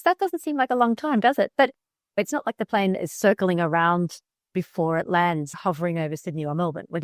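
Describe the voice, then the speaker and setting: A woman co-hosting a travel podcast, chatting in a lively, playful, emphatic way. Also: mid-range voice, no echo, studio quality. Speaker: podcast co-host. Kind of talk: casual chat.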